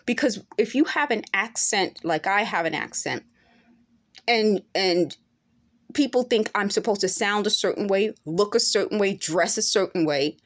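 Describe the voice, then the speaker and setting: A woman's voice: medium pitch, animated, moderate pace. A woman in her forties talking straight to camera in an unscripted monologue, earnest and insistent, now and then rising to emphatic peaks. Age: 40s. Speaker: vlogger.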